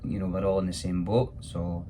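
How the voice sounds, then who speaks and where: A man's voice: low voice, little pitch movement, a little fast, mellow, a little hiss or hum. A man with a Scottish accent who performs in pantomime, answering questions in a casual chat.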